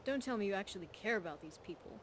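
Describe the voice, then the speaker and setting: A tough female thief from a fantasy video game, played by an actress, cocky and streetwise. Her voice sits at a medium pitch and her delivery is blunt and wry. Faint noisy ambience runs behind her. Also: animated, very fast, close to an everyday voice. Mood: shrewd, probing. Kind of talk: video game character dialogue.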